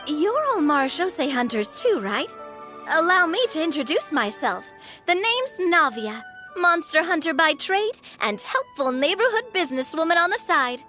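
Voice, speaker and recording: A woman's voice: extremely high pitch, very animated, moderate pace, pushed and bright, exaggerated voice. An actress playing a bold, upbeat young businesswoman adventurer in an anime game, eager, confident and chummy. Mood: friendly, confident. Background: music.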